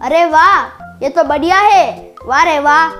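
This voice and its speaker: A performer voicing a whiny, excitable young schoolboy in a cartoon story, with music underneath. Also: high voice, unhurried. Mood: delighted.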